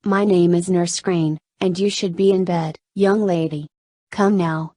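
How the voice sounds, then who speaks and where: A woman voicing a brisk, motherly, cautioning hospital nurse with a slight Southern accent in a story reading. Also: lowish voice, steady tone, dark tone, no echo, studio quality. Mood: fussing, kindly.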